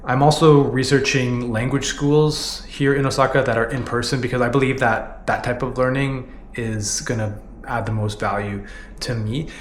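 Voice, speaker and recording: A man's voice: mid-range voice, steady tone, moderate pace, echoey room, a little hiss or hum. Earnest Canadian man in his thirties. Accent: Canadian English. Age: 30s. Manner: earnest, candid, frequent "you know" fillers. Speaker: vlogger. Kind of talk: reflective personal vlog narration.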